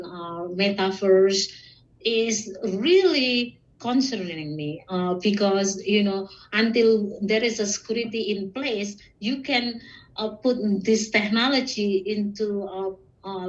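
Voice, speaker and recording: A woman from Indonesia with an Indonesian accent, giving casual, halting interview answers as a podcast guest. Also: mid-range voice. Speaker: podcast guest, security professional and student. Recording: muffled, distant microphone.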